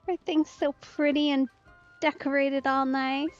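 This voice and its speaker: A woman's voice: sits high, flat delivery, normal speed. A woman streamer giving chatty, informal commentary as she plays a video game. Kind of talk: casual game let's-play commentary. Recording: slightly dull close mic, game music behind. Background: game music.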